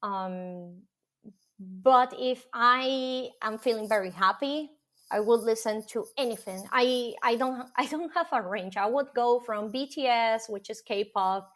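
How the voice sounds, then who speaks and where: A woman with a Venezuelan Spanish accent talks in English on a podcast, her first language being Spanish. Her voice sits a little high, and she gathers her thoughts as she goes, pausing on ums and restarting sentences. The tone stays relaxed and conversational. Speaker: podcast guest. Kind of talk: casual interview chat.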